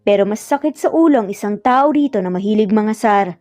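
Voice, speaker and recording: A woman's voice: medium pitch, animated, a little fast, a little mellow, dry recording, faint music behind, lightly acted. Woman putting on a curt, grumpy Filipino male boss. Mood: dry teasing. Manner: curt, dry sarcasm. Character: grumpy, aloof male boss with dry teasing.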